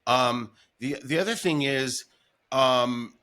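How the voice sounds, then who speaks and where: A man's voice: mid-range voice, takes it slowly, sharp tone. An American man hosting a casual interview, talking in an enthusiastic, rambling storyteller's way. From Denver, United States. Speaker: podcast host, former morning radio voice talent.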